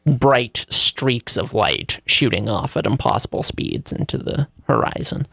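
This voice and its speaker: A man's voice: medium pitch, big pitch swings, unhurried, gravelly, a bit bright, no echo. Wry man with a dry, close sound. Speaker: co-host presenting news. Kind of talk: casual radio news chat.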